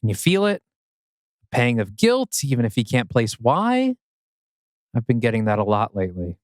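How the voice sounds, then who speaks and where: A man acts out a troubled father in his thirties in an audio drama reading. His delivery is animated and intense, pressing with bitter, pointed questions in a close, dry recording. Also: medium pitch, moderate pace, no echo. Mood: bitter, accusing. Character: anguished 39-year-old father, bitter and accusing.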